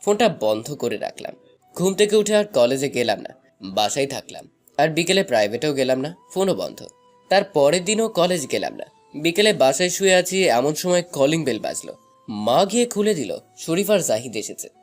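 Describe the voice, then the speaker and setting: A young man narrating a scripted first-person love story in an expressive, dramatized way. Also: fairly high pitch, moderate pace. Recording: music bed under the voice. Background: background music.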